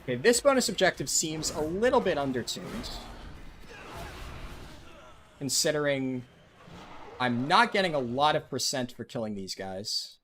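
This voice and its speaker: A man's voice: on the high side, very animated, measured pace, long pauses, fairly bright tone, slight background noise. A man live-streaming a game, chatting with viewers in a casual way with lots of ums and likes. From United States. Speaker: livestreaming gamer.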